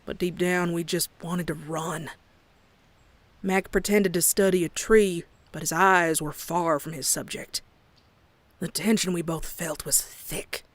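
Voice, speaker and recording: A woman's voice: on the low side, steady tone, unhurried, dry recording. A woman playing an anxious young farm pony with a Southern US accent, telling a horror tale in a folksy, uneasy way. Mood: brooding, uneasy. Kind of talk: dramatic first-person horror narration and dialogue. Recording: prominent music behind the voice. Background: music.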